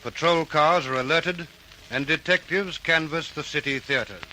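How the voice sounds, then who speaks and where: Aussie radio drama narration, solemn case report, very bright voice over music. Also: male voice, a little high, a little slow, a little hiss or hum, poor audio. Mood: solemn, dramatic.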